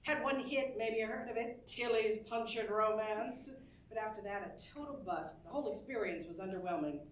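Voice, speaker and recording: An actress playing a brassy, warm-hearted, forthright stage star in a musical reading, with a folksy, emphatic manner. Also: female voice, a little high, normal speed, slight background noise, close to an everyday voice. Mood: warm, wry. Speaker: musical theatre performer. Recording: roomy stage sound, music behind.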